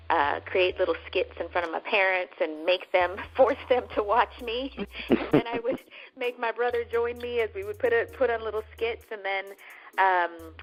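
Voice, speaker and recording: A woman from Northern California chatting as an actress guest in an interview, animated and laughing as she talks, bringing up her faith. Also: lowish voice, lo-fi sound. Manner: animated, laughing, with religious references. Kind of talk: casual interview chat.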